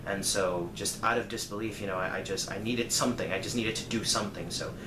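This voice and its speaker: Low-voiced man telling stories, echoey room. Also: steady tone, quick pace. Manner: rambling, conversational, dramatizes moments with emphasis. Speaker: storyteller vlogger. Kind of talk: casual personal storytelling. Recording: echoey room, clear background noise.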